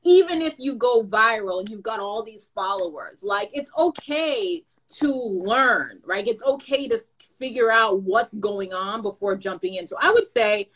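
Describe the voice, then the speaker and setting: A woman who is a content creator and brand manager, giving advice in a lively, chatty way with playful asides. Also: fairly high pitch, measured pace, poor audio. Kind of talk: casual advice talk.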